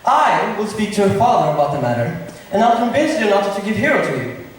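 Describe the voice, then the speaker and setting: A man's voice: high voice, big pitch swings, fast talker. A man acting a confident, genial noble prince in an amateur stage comedy, speaking in a declamatory way. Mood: encouraging. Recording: reverberant hall, distant mic, noisy.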